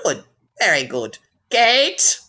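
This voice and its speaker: A woman's voice: lowish voice, huge pitch swings, takes it slowly, sharp tone, exaggerated voice. A woman playing an imperious, ailing elderly baroness in a radio drama, speaking in a grand, commanding, formal way.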